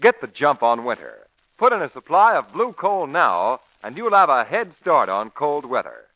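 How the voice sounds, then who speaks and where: A man's voice: fairly high pitch, sing-song, moderate pace. A man announcing in 1940s radio style, reading scripted narration and ads in an emphatic, formal manner. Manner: emphatic, formal, crisp selling delivery. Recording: muffled old-time radio recording.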